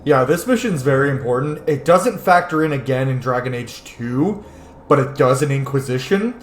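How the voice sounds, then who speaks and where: Man, gameplay commentator, informal, joking, animated intonation, roomy echo, casual delivery. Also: medium pitch, normal speed. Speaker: gaming video host. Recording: roomy echo, faint noise.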